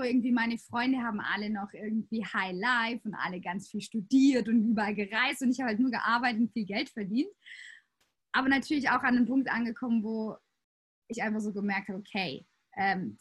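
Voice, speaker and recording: A woman's voice: a little high, slightly rough. A woman in her late twenties from Austria, a yoga teacher telling her story in a casual interview with many fillers. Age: 20s.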